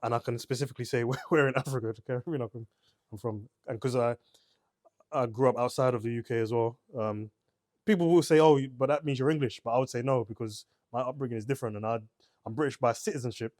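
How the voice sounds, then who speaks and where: A man's voice: mid-range voice, fast, darkish tone, no room sound, studio quality. A man co-hosting a casual book discussion podcast, talking hesitantly with many fillers. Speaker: podcast co-host.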